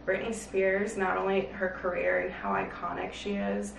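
A relaxed young woman from Florida with a gravelly, fry-tinged voice, reading questions and answering at an easy pace. The room is echoey, the mic set back with a faint hiss. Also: medium pitch, moderate pace, distant mic. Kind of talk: casual family Q&A. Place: indoor bedroom, on a couch.

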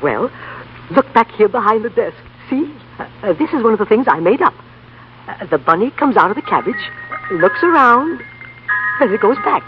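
An actor playing a meek, flustered office clerk and tinkerer, fussy and stammering, in a 1930s radio comedy play. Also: male voice, high voice, very animated, moderate pace, pauses often, over music. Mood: shy. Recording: dull, noisy 1930s radio recording.

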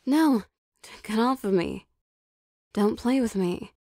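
A woman voicing a possessive, teasing young vampire girlfriend in an intimate, soft ASMR roleplay, coaxing with hesitant pauses. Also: on the high side, lively intonation, dry recording, studio quality, heavily acted. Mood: hurt, annoyed.

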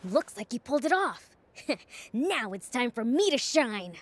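A woman's voice: a little high, very animated, unhurried. A woman voicing a cocky, eager young demon prince in a video game, with a brash, boyish manner. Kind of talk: video game cutscene acting.

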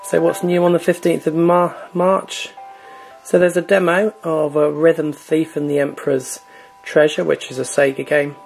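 A man's voice: on the high side, normal speed, vocal fry, faint music behind, low-quality recording. A man hosting a gaming channel, chatting casually with many fillers as he walks through a console's online store. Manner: relaxed, filler-heavy, chatty. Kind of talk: casual screen walkthrough.

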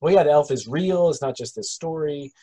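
A man running a tabletop game over a video call, describing the scene in a halting way with many pauses and restarts. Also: fairly high pitch, mellow. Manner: hesitant, full of ums and restarts. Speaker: game master.

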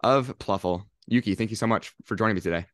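A young man hosting a podcast interview over a video call, asking curious, upbeat questions into a studio mic on a boom arm. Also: fast talker, dry recording. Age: young adult. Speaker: podcast host. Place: home room on a video call.

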